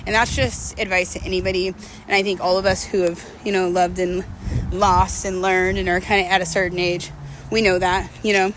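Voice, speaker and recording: A woman's voice: on the low side, little pitch movement, a little fast, slight background noise, rough recording. A woman in her late thirties giving a casual, confessional monologue, reflective and candid, talking through her thoughts in a self-questioning, conversational way. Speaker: vlogger sharing personal relationship advice.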